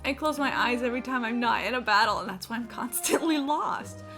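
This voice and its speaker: A woman's voice: a little high, expressive, brisk, bright, forceful tone. A woman chatting casually as a live streamer, opinionated, emphatic and free with swearing. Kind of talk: casual chat while gaming. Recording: game music in the background. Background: video game music.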